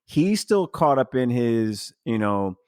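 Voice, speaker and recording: A man hosting a casual podcast, recapping a story in a halting, think-aloud way and paraphrasing bits of dialogue. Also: mid-range voice, sing-song, a little mellow, clean tone, no echo, clean studio sound. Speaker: podcast host.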